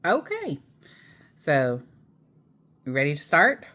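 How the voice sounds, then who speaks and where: A woman reading a children's picture book aloud in an expressive, playful way, with chatty asides. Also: sits low, slow. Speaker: storytime host and narrator.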